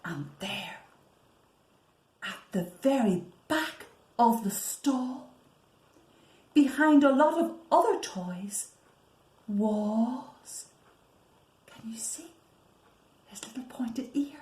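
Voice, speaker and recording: A woman reading a picture book to young children as a storyteller, deliberate and expressive, often hushed for effect. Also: on the high side. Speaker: library storyteller. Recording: roomy, echoing sound.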